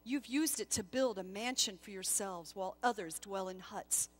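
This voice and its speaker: A woman storyteller voicing a male guardian angel, firm but not unkind, measured and authoritative. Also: mid-range voice, big-room sound, pitched below the performer's own voice, lightly acted. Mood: solemn, stern.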